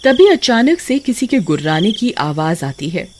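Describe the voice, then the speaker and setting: A woman's voice: mid-range voice, very animated, unhurried, very noisy. Woman narrating a spooky cartoon story. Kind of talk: scripted horror story narration.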